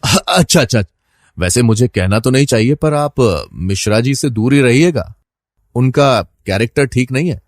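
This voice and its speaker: A man's voice: medium pitch, lively intonation, moderate pace, dry recording, studio quality, close to an everyday voice. A man playing a gossipy, insinuating office neighbour who speaks in a hesitant, confiding and sententious manner.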